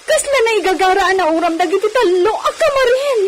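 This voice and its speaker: An actress with an Ilocano accent playing an anxious, devoted wife in a radio comedy-drama, with a pleading, exclaiming manner. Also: female voice, very high voice, animated, brisk, heavy background noise. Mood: alarmed, worried.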